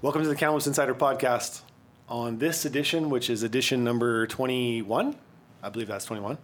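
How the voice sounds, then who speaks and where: A man from Quebec hosting a casual podcast chat, relaxed and easygoing, laughing often. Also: mid-range voice, a little fast, soft background music. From Quebec, Canada. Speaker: podcast host.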